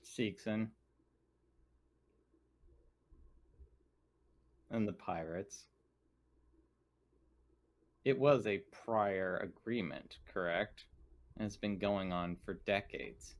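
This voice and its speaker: A man voicing a precise, dryly sarcastic female colony crew member in a tabletop game, speaking in a formal, measured, deadpan way. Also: fairly low pitch. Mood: coolly pointed. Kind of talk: in-character tabletop roleplay.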